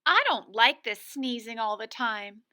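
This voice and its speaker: An earnest, wondering storybook fellow prone to sneezes, played by a woman reading to children. The voice is bright and fairly high, with slow, lively phrasing.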